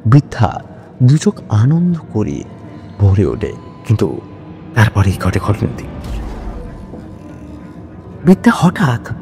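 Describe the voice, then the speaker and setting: A man's voice: fairly low pitch, huge pitch swings, slow pace, vocal fry, pauses often, darkish tone. A man narrating a scripted horror story in a dramatic style. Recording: long reverb with music bed. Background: music.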